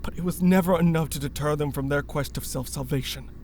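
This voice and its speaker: A man's voice: on the high side, brisk, darkish tone, a little hiss or hum, close to an everyday voice. A man playing an earnest, guilt-ridden former cult member turned rebel in a sci-fi audio drama. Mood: remorseful, confessional.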